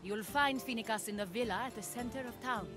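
An actress voicing a calm, shrewd Greek noblewoman in a video game, measured and formal. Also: fairly high pitch, over music, lightly acted. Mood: measured, advising. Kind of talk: dramatic video game acting.

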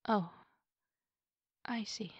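A woman's voice: no room sound, highs cut off. A woman playing a distraught, ashamed werewolf girlfriend in an ASMR roleplay, stammering and apologetic, with sighs breaking up her words. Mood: weary, apologetic. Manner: halting, stammering, sighing. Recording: steady rumbling background noise.

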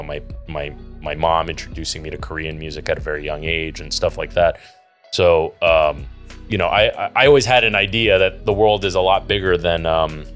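A man's voice: deep voice, a bit bright. A man in his thirties co-hosting a podcast in casual, joking chat, talking into a studio mic in a home studio. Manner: laid-back, joking, says "hundred percent". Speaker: podcast co-host. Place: home studio with wall art. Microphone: large studio mic on boom.

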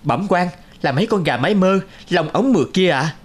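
An actor playing a shrewd village painter in a cartoon folk tale, deferential and matter-of-fact. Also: male voice, high voice, animated, a little fast, breathy edge. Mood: polite.

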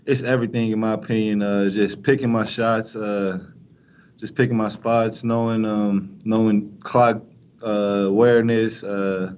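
A young male college basketball player answering postgame press questions, flat and halting, with many fillers and restarts. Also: lowish voice, clean tone. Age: young adult. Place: press room in front of a sponsor backdrop. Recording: dull lo-fi stream with faint noise.

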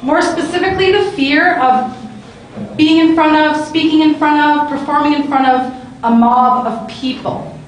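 Upbeat woman moderator, high pitch, handheld mic in a booming convention hall. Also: measured pace, a little hiss or hum. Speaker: panel moderator. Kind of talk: hosting a live panel. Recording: echoey hall, camera from far off.

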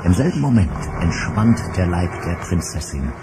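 Deep-voiced male story narrator over music. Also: very animated, moderate pace. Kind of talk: scripted dramatic storytelling for children's audio drama. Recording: music bed under narration.

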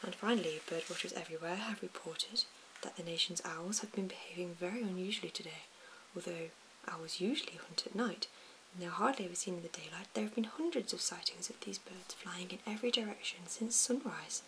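A woman's voice: on the low side, brisk, hardly any pauses, natural voice. A wry male evening newsreader, whispered by a woman reader. He reads in a formal broadcast manner. Hiss and mouth clicks sit under the voice.

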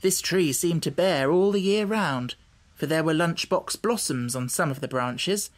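A man reading scripted storybook narration as an audiobook narrator, measured and carefully articulated. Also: fairly high pitch.

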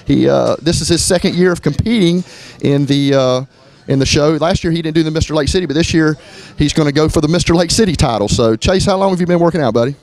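A middle-aged man from Florida, a gym owner giving a casual walkthrough of his gym, talking in a relaxed way with lots of fillers and restarts. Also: on the high side, quick pace. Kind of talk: walkthrough tour, casual narration. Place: gym indoors, moving through rooms.

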